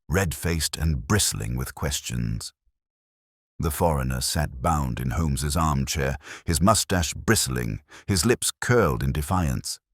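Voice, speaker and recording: A man narrating a Victorian detective audiobook in a measured, dramatic storytelling manner. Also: extremely low pitch, dry recording, clean studio sound.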